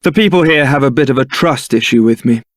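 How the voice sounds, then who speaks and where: An actor with a British accent playing a suave, roguish young templar knight in a video game, polite and teasing. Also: male voice, medium pitch, fast talker, dry recording, clean studio sound, lightly acted. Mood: wry, apologetic. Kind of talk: scripted video game character acting.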